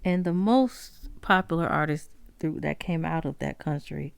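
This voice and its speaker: A woman hosting a solo music history podcast, talking haltingly with many pauses, fillers and self-corrections. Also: low-pitched, vocal fry, clean tone, dry recording. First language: not Spanish. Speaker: podcast host.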